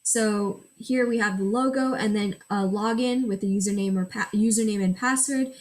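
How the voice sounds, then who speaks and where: A woman's voice: mid-range voice, normal speed, darkish tone. A teenage girl, a native Gujarati speaker, gives a school project presentation in a mid-range voice. She reads from a prepared script and explains each screen step by step. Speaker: student presenter.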